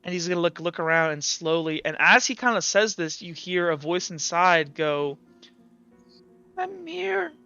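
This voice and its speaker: Bright, clear-voiced male game master. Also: fairly high pitch, lo-fi sound. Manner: casual, halting. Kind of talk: improvised tabletop game narration. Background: faint music.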